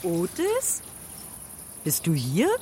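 A woman's voice: very high voice, wildly swooping pitch, extremely slow, gravelly, heavy background noise, full character voice. A woman playing a weary, sardonic mother of two in a naturalistic radio play. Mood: brisk.

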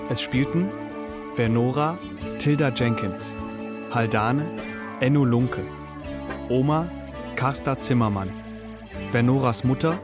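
Casting: male radio announcer for end credits, unhurried delivery, medium pitch, music bed.

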